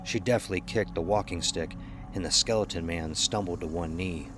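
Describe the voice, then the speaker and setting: A deep-voiced man narrates a scripted horror tale. Clear night ambience with crickets sits behind his storytelling. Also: normal speed, noisy background.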